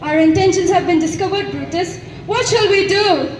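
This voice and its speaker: A woman's voice: very high-pitched, moderate pace. A teenage girl with an Indian accent playing an anxious Roman conspirator in a school stage play. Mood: anxious, urgent. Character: anxious Roman conspirator plotting against Caesar. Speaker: student actor. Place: school stage, distant microphone. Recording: roomy, distant school stage with background noise.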